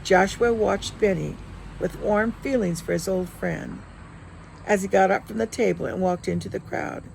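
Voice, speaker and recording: A woman reading her own novel aloud as a storyteller, with expressive, dramatic narration. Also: medium pitch, normal speed, gravelly, a little hiss or hum, rough recording. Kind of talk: expressive audiobook-style narration.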